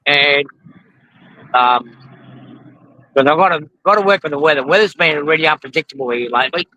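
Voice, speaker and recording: An Australian man hosting a casual live stream outdoors, rambling with lots of ums while his voice goes hoarse. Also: a little high, sharp tone, dry recording, poor audio. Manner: rambling, frequent ums and restarts. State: losing his voice from the heat. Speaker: model railroading channel host. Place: outdoors at a roadside truck convoy.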